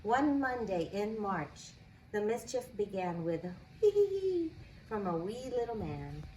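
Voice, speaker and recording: A woman reading a children's picture book aloud expressively, with deliberate, dramatic phrasing. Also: medium pitch, lively intonation, a little mellow. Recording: echoey room, faint background noise.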